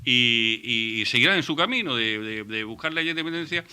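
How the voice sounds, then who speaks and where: A man from Murcia, a panelist on a radio debate show, who talks in a halting way and often restarts his words. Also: mid-range voice, lively intonation, sharp tone, smooth. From Murcia, Spain. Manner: halting, repeats and restarts words while reasoning.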